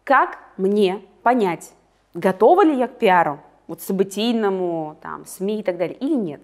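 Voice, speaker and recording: Woman, interview host, middle pitch, engaged, speaks to viewers, promotional sign-off. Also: expressive, moderate pace, a little mellow. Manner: engaged, addresses viewers directly with calls to action.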